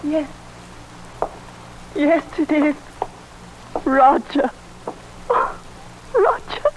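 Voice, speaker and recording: An actress playing an emotional, devoted middle-aged wife in an old radio melodrama, halting and breathless in delivery. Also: female voice, high voice, sing-song, heavily acted. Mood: overcome, pleading. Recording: hissy old radio drama recording.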